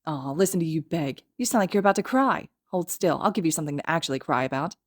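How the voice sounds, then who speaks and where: A woman's voice: low-pitched, expressive, quick pace. Woman playing a brash teenage boy bully. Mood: mocking. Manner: jeering, brash. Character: taunting teenage schoolboy bully.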